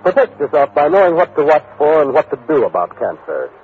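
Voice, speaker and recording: A man's voice: fairly high pitch, very animated, brisk, a little hiss or hum. An American man reading a scripted 1950s radio announcement in an emphatic, formal, earnest manner. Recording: tinny, phone-like vintage radio recording.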